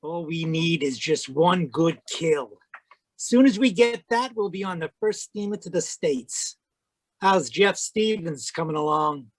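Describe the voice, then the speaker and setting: A man's voice: fairly high pitch, animated, unhurried, dry recording, poor audio. A man playing a greedy, slick swindler with a slangy, scheming manner in old-time radio drama style. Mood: scheming, coaxing. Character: greedy male swindler, slick accomplice of cafe singer.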